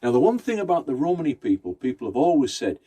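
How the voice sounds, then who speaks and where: A man's voice: mid-range voice, sing-song, quick pace, warm tone, slightly rough. A man telling a folktale conversationally, in short phrases with rhythmic repetition. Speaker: Romani storyteller. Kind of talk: oral folktale storytelling.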